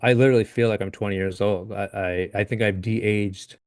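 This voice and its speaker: A man in his late thirties, a guest in a casual interview, talking in a halting way with frequent restarts and easy laughs. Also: on the low side, fairly even intonation, no echo, studio quality. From Upstate New York. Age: 30s.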